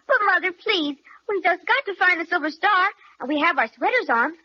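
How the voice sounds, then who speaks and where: A woman's voice: very high-pitched, lively intonation, brisk. A woman playing an eager, bossy little girl in an old-time radio children's serial, with chirpy, emphatic phrasing. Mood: eager, excited. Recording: dull old radio recording.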